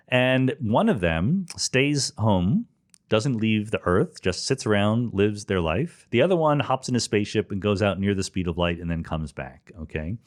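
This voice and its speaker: Low-voiced man explaining, with lively intonation. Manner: didactic and conversational, with emphatic stresses. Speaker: podcast host and physics explainer. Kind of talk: solo explanatory science talk, informal lecture.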